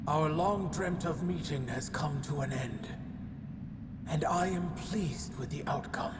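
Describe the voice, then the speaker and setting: A solemn otherworldly guardian, fairly high for a male voice and very unhurried. The stately, judging game-character delivery trails into whispers, drenched in cavernous reverb. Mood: solemn, resigned. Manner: stately, ominous, breathy whispering at phrase ends. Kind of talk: dramatic video game cutscene acting. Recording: long cavernous reverb, loud ambient noise.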